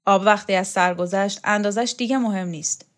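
A woman's voice: medium pitch, close to an everyday voice. A woman voicing a blunt, coarse middle-aged strongman who scolds and swears. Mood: angry. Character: tough, blunt middle-aged male strongman, scornful and gruff.

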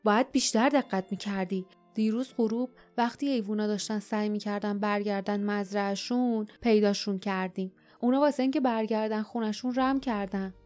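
Woman playing an angry farmer man, loud, harsh, storybook, soft music. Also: mid-range voice, fairly even intonation, lightly acted. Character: angry male Mugiri farmer, gruff.

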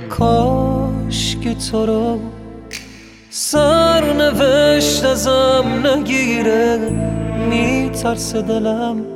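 A woman's voice: on the high side, steady tone, loud music behind the voice. A woman singing a sentimental pop love ballad. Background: loud musical instruments.

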